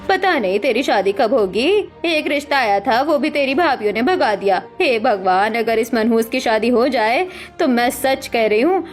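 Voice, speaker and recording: A woman's voice: fairly high pitch, animated, normal speed, few pauses, soft background music, natural voice. A woman voicing a bitter, impatient middle-aged mother who scolds in a cartoon story. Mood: angry, exasperated. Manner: scolding, exasperated.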